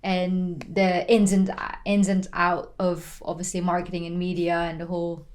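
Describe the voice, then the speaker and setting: A woman's voice: on the low side, flat delivery, moderate pace, a little mellow. Chatty woman of twenty. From Philippines. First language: Tagalog. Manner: chatty, many "like" and "you know" fillers, laughs often. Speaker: podcast guest. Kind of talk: casual interview chat.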